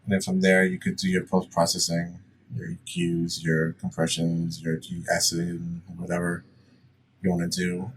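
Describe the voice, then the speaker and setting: A man with a low voice walks through software steps like a casual tutorial presenter. He is plain-spoken and instructional. He sounds a little away from the mic, with faint noise behind him. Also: a little slow, far from the mic, poor audio.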